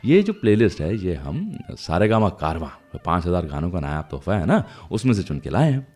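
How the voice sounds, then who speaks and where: A man's voice: deep voice, sing-song, fairly quick, a little mellow. A man hosting a music radio show, telling anecdotes conversationally and speaking directly to his listeners. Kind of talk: chatty music radio storytelling.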